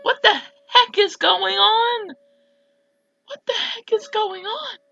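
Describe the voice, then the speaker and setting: A woman playing a visual novel and commenting casually, with slangy, expressive reactions. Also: very high voice, slow, vocal fry, lo-fi sound, soft background music. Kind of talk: casual let's play commentary.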